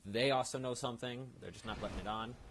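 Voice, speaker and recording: A man's voice: mid-range voice, steady tone, fast. A man hosting a gaming video with casual, explanatory commentary and rambling asides. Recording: loud background noise.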